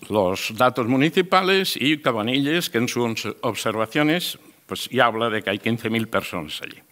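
A man's voice: mid-range voice, a bit bright. A man gives an improvised conference talk, speaking emphatically with digressions and restarted phrases. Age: 60s. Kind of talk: conference presentation with slides, improvised explanation. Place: large indoor auditorium. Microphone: gooseneck microphone on the table. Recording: reverberant lecture hall.

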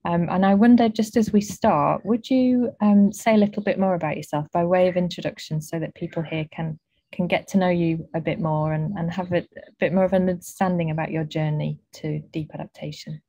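A middle-aged woman hosting an interview on a video call, giving a measured introduction from indoors. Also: fairly low pitch, steady tone, dark tone, dry recording, highs cut off. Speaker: host. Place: dark quiet indoor room.

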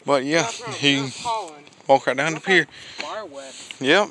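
A man's voice: medium pitch, slow pace, a crowd in the background, low-quality recording. A young man, narrating a casual walking tour outdoors, rambling and often correcting himself. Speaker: vlogger. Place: outdoors at a lake park boardwalk.

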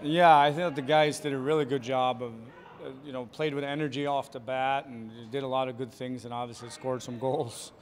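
A man in his forties, a hockey coach answering postgame questions quickly and plainly, with many fillers, into a handheld mic in an arena hallway. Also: mid-range voice, steady tone. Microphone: handheld reporter mic.

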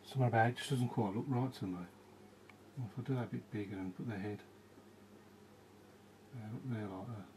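A man in his thirties giving a casual watercolour painting lesson, thinking aloud as he works and often trailing off into quiet asides, recorded in a small room by a camera beside the easel. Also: on the low side, steady tone, fairly quick, long pauses, noisy background. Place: small indoor room with bookshelves.

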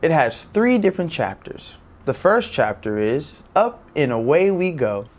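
A man's voice: a little high, big pitch swings, unhurried. A man in his thirties presenting a story to young children with upbeat, smiling expression, on a lapel mic. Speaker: children's read-aloud host.

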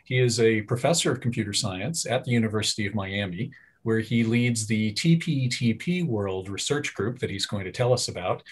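A man's voice: fairly low pitch, moderate pace, husky. A middle-aged man hosting an interview over a video call, speaking hesitantly with frequent fillers. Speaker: interview host. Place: room at home. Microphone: video call webcam. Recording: dull lo-fi video call audio.

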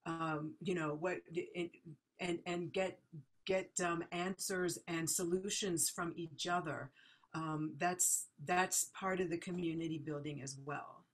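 A woman's voice: lowish voice, steady tone, unhurried. A woman music educator speaking on a video call panel, thoughtful and hesitant, with many fillers and restarts. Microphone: video call laptop mic.

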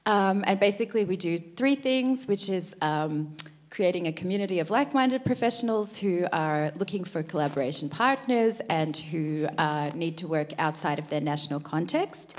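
A woman's voice: lowish voice, moderate pace. A middle-aged woman with a handheld mic, opening a conference panel on a theater stage in a chatty, self-deprecating way with many fillers. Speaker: panel host, association co-founder. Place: large dark theater stage. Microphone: handheld microphone.

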